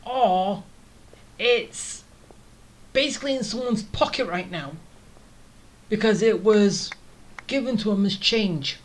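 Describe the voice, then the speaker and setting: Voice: mid-range voice, slow pace, long pauses. A gamer giving casual, rambling gameplay commentary, thinking aloud. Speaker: gaming streamer.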